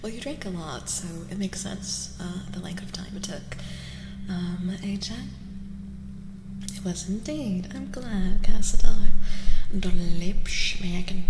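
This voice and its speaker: A woman speaking softly and gently in casual ASMR style as a streamer reading tarot, often dropping to a whisper, close to the microphone. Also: mid-range voice, vocal fry, background noise. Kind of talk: soft-spoken ASMR, casual live chat. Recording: reverberant echo with music behind. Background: music.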